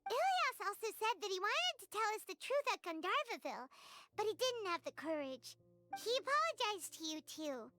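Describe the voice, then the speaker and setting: Game role: tiny fairy girl, very high squeaky voice, bouncy lilting delivery, bubbly. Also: very animated, moderate pace, sharp tone, soft background music, full character voice. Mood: chirpy. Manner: bubbly, speaks of herself by name. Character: tiny chirpy female fairy companion. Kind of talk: video game voice acting.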